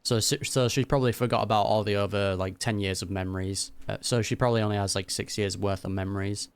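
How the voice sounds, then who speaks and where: Male gaming reactor: low, clean smooth voice, informal and thoughtful, thinking aloud in a dry close recording. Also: fairly even intonation, quick pace, no room sound. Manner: musing, informal. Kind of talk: casual running commentary on a game.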